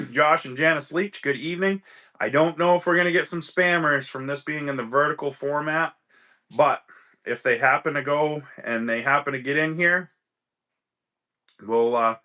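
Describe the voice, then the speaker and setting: Bright-voiced man hosting a chatty livestream. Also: on the high side, normal speed, long pauses. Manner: chatty, greets viewers by name. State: slightly stuffy from a cold. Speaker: livestream host. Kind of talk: casual livestream chat.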